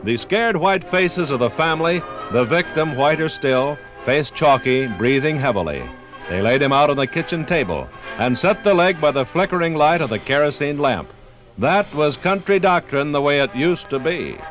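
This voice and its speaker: A man's voice: a little high, lively intonation, normal speed, over music, low-quality recording. An American man narrating a 1950s radio documentary from a script in a dramatic storytelling manner.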